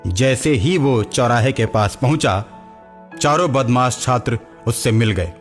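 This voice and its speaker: Man for scripted story narration, medium pitch, with music playing behind. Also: lively intonation, unhurried.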